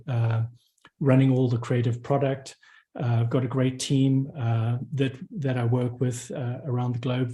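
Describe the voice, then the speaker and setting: South african guy, middle-aged, warm mellow voice, chatty intro on a call. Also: mid-range voice. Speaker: photographer guest. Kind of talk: self-introduction in a panel talk.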